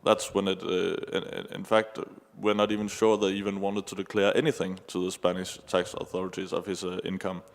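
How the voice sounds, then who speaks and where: Low-voiced, halting man in an echoey hall. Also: level tone, vocal fry. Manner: halting, hesitant, measured. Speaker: conference panelist. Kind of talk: unscripted expert answer on a panel. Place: large indoor conference hall. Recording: echoey hall, long reverb.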